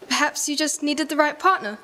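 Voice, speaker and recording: Woman playing a high-voiced coy young lady. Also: a little fast. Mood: coy, hopeful. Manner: coy, gently teasing. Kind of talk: live radio play acting.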